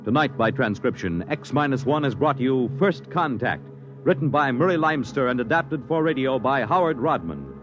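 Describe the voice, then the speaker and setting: A man's voice: medium pitch, expressive, moderate pace. An American man announcing from a script in 1950s radio style. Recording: dull 1950s radio recording with music.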